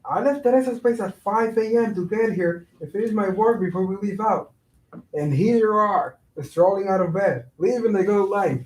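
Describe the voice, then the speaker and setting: A man's voice: high voice, moderate pace, a little mellow, natural voice. A man playing an anxious, responsible working buddy in a staged reading, exasperated and mixing in Spanish. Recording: echoey, distant from mic.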